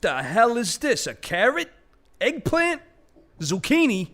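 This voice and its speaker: A man's voice: very high-pitched, huge pitch swings, takes it slowly, a bit bright, exaggerated voice. A man playing a gluttonous, wisecracking vulture in a comic audio play, grumbling and savoring his words. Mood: greedy, grumbling.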